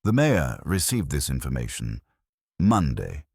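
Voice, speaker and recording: A man with a very deep voice plays a prim, watchful cleaning woman in an audio mystery. She reports what she has seen with formal precision and purpose, in expressive, moving pitch. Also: unhurried, gravelly, husky, no echo, clean studio sound, exaggerated voice. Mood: precise, confiding. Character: prim, observant woman office cleaner, discreetly conspiratorial.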